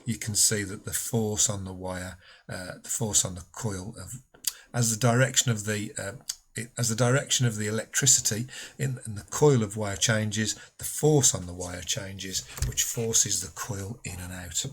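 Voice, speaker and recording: A man's voice: lowish voice, steady tone, normal speed, husky. A man speaking as a physics teacher, explaining a school lesson patiently and informally, step by step.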